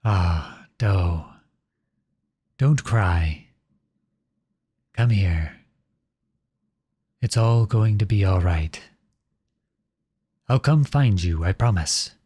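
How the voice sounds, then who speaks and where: Man acting a low, husky outlaw prince. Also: animated, extremely slow, pauses often, dark tone, dry recording, studio quality, heavily acted. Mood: tender, reassuring. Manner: earnest, dramatic, addresses unseen listeners directly. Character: outlawed young prince, protective, noble, devoted to his beloved. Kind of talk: ASMR audio roleplay acting. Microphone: close studio-style microphone, dry.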